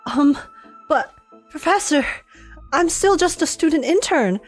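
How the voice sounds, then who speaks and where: A woman's voice: sits high, animated, unhurried, soft background music, voice pushed up. A woman voicing a shy, flustered young student intern in a dramatic story read-aloud, stammering and hesitant.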